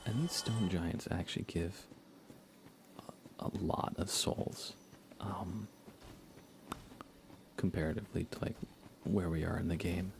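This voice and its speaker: A man softly giving casual ASMR commentary as he livestreams a game, often slipping into a near-whisper. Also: low voice, a little slow, vocal fry, long pauses, background noise. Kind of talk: soft ASMR gaming commentary, casual chat.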